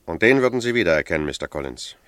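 An actor playing a businesslike police sergeant in a German radio crime drama, questioning in a clipped, official manner. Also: male voice, deep voice, very animated, very fast, a bit bright, no room sound, clean studio sound. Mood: firm, official.

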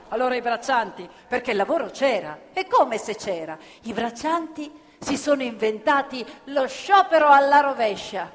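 A woman performing dramatic narrative theatre with declamatory, emphatic phrasing. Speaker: stage storyteller and actress. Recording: reverberant hall, loud live music behind. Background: live violin, piano and double bass music.

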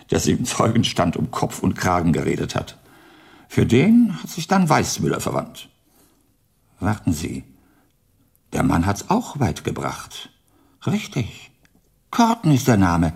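An actor playing a crotchety elderly retired judge in a German radio play, sardonic and halting, with old-fashioned turns of phrase. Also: male voice, low-pitched, darkish tone, exaggerated voice. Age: older. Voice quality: gravelly, husky. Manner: halting, addresses listener archaically in third person.

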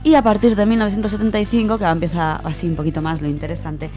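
A woman's voice: on the low side, little pitch movement, very fast. A woman with a Spain Spanish accent presenting an informal radio segment, chatting to listeners with familiar, colloquial asides. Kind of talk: informal talk from notes, biographical radio segment. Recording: music playing behind the voice. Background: music.

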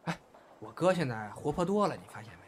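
A man's voice: a little high, big pitch swings, fast. A man playing a jealous, cheeky young brother in an audio drama, chatty and needling in manner. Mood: teasing. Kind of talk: Chinese audio drama dialogue. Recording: faint background noise and ambience.

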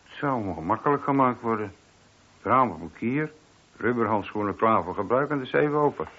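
An actor playing an attentive, deferential police sergeant in a radio play, talking in a conversational way. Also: male voice, mid-range voice, expressive, moderate pace, breathy edge. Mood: thoughtful. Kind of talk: Dutch radio play acting. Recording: dull, muffled old radio recording.

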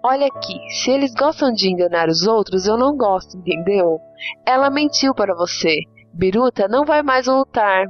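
A woman's voice: mid-range voice, expressive, normal speed, close to an everyday voice. Woman acting blunt housemaid, dull lo-fi sound. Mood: blunt, indignant. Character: blunt, uneasy housemaid telling a boy hard truths. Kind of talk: dramatic reading of a short story. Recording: dull lo-fi audio with music underneath.

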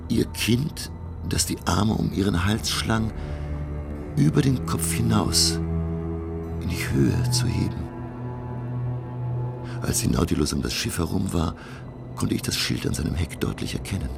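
A man acting a reflective gentleman professor, narrating a radio drama in a measured, hushed, literary way. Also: animated, exaggerated voice. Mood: sombre. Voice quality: deep, gravelly. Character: reflective, observant gentleman professor recounting his undersea voyage. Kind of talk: scripted first-person radio drama narration. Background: prominent music.

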